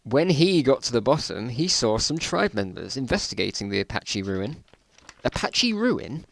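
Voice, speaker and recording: Creaky-voiced man doing a casual dramatic reading. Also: medium pitch, very animated, normal speed. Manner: self-mocking, bemused asides while reading. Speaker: narrator reading own old school stories.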